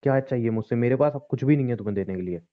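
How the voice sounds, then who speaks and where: An actor playing a young, wounded, estranged husband in a relationship drama, speaking in a curt, confrontational way and repeating himself. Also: male voice, medium pitch, rapid-fire, close to an everyday voice. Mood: angry, dismissive. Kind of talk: Hindi audio relationship drama, naturalistic dialogue. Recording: dull lo-fi recording.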